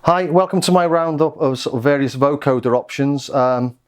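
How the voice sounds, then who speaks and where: A man's voice: mid-range voice, normal speed, clear, resonant tone. A British man giving a casual, rambling product overview as a gear reviewer.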